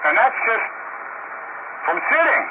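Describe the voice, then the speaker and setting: A man's voice: very high voice, sing-song, measured pace. A man chatting casually over amateur radio as one of the operators. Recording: tinny radio transmission, loud static noise.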